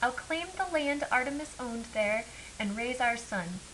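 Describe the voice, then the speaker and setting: A woman reads as a plucky, determined storybook heroine. The voice is bright and a bit high, assertive and eager, over a light hiss. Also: animated, measured pace, low-quality recording. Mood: defiant. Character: eager, determined girl growing into bold woman. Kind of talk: storybook character voice. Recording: clear background hiss.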